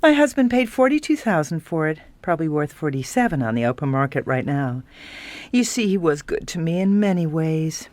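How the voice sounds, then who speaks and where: An actress playing a glamorous, scheming wife in a radio drama, arch, sultry and teasing, with a creaky voice. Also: female voice, low-pitched, sing-song, fairly quick, darkish tone, no room sound. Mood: boastful, arch. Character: glamorous, seductive, scheming younger wife of wealth.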